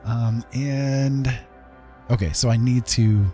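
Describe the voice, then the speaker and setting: A man's voice: mid-range voice, fairly even intonation, slow pace, mellow, soft background music. A middle-aged man casually commentating as he plays a game live, friendly and rambling, often talking to himself. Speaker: game streamer.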